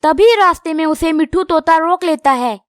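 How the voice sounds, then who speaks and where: A woman's voice: extremely high pitch, moderate pace, no echo, clean studio sound. A woman narrating a scripted children's cartoon story.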